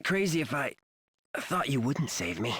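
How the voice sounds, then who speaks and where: A woman's voice: extremely low pitch, big pitch swings, moderate pace, heavy background noise. An actress playing a bold, loyal and determined young female soldier in a video game, speaking with plucky, heartfelt conviction. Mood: strained, weakening. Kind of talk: dramatic video game voice acting.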